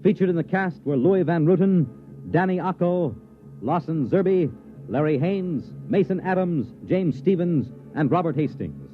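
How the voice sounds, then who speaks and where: A man's voice: a little high, animated, unhurried. A man working as a radio announcer, reading a script in a polished, declamatory 1950s broadcast style. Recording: muffled vintage radio recording with music.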